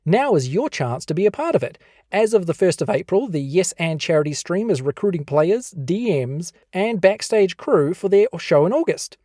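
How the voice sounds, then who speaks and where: A co-host with a New Zealand accent reads a podcast outro in a warm, mellow voice. The pitch rises and falls a great deal as the host asks playful, mock-mysterious questions. The recording is close and dry. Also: sing-song, moderate pace, no room sound.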